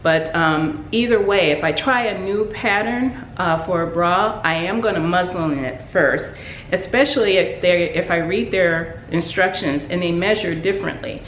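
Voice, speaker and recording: A woman's voice: fairly low pitch, normal speed, fairly bright tone, reverberant, slight background noise, poor audio. A woman sewing vlogger casually showing her handmade work, chatty with many ums and restarts. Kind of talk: casual show-and-tell.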